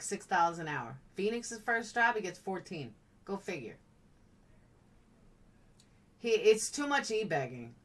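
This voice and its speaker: A woman's voice: on the low side, a bit bright, far from the mic. A woman live-streaming in a blunt, chatty, playful way, casually answering comments as they come in.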